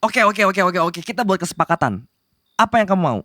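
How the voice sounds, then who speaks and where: A woman's voice: mid-range voice, sing-song, brisk, pushed and bright, dry recording, studio quality. A woman playing a possessive, lovestruck young male demon prince, bossy yet flustered, in a romantic roleplay spoken to the listener. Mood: bargaining, firm. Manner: insistent and flustered, pleading between commands. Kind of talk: romantic ASMR roleplay acting addressed to the listener.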